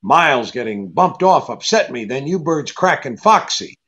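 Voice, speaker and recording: An actor playing a wary, hard-boiled private detective, curt and sardonic, in 1940s radio drama style. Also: male voice, mid-range voice, very animated, normal speed, fairly bright tone, lo-fi sound. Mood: defensive, wry.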